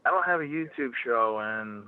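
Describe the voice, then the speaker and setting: A man's voice: mid-range voice, lively intonation, normal speed, dry recording. A man with a Philadelphia accent, talking bluntly and profanely on a phone call. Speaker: phone guest. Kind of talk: casual phone conversation. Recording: tinny phone line.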